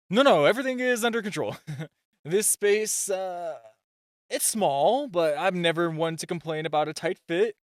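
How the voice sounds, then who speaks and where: A man's voice: high voice, a bit bright, no echo, studio quality. A man playing a super-shy, flustered nerdy gadget inventor in an amateur audio roleplay, nervous and prone to stammering. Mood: nervous, awkward.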